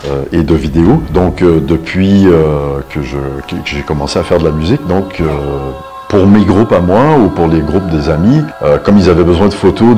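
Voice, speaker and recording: A middle-aged man talking about himself in an interview, hesitating and pausing between short phrases. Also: low-pitched, dark tone. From Canada. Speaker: videographer, photographer and musician being interviewed. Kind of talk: interview self-portrait. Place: indoor photo studio. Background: background music.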